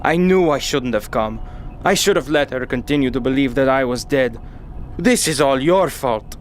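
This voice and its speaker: A man's voice: mid-range voice, animated, moderate pace, a little hiss or hum, lightly acted. A man playing a devoted, gallant and protective father and pilot in an audio drama. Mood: bitter.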